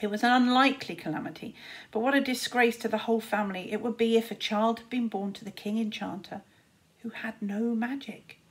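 A woman in her thirties reads a children's storybook aloud. Her voice sits a little high, and she narrates expressively in a teacher's reading style. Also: steady tone, brisk, low-quality recording.

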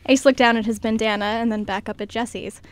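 A woman's voice: a little high, fairly even intonation, fast talker. A woman reading scripted story narration as the narrator of a radio skit.

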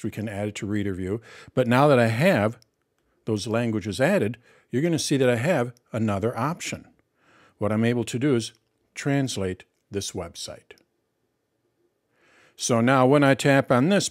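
A man's voice: mid-range voice, no room sound, clean studio sound. A middle-aged man hosting a tech tutorial, explaining each step and answering his own questions. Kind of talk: screen-recorded tutorial walkthrough.

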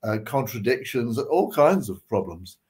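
A man's voice: mid-range voice, very animated, measured pace, darkish tone. An older man with a British accent, speaking off the cuff as a panelist on a video call. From United Kingdom. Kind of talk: unscripted panel discussion. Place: living room on a video call.

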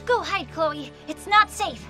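A woman's voice: very high voice, lively intonation, unhurried, heavily acted. A woman playing a brave, commanding teenage superheroine in a cartoon game, sounding urgent and decisive. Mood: firm. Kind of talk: animated cartoon game acting. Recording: loud music behind the voice.

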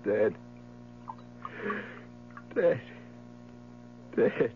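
An actor playing a grief-stricken, haunted husband in a theatrical, impassioned 1970s radio drama style. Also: male voice, lowish voice, sing-song, extremely slow, gravelly, exaggerated voice. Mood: grieving. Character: anguished, grief-stricken husband haunted by his dead wife. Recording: muffled old radio recording, mains hum.

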